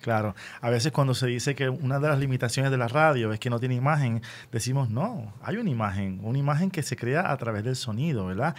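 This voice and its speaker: A man's voice: mid-range voice, lively intonation, brisk, few pauses. A man conducting a conversational radio interview, speaking in an encouraging, explanatory manner.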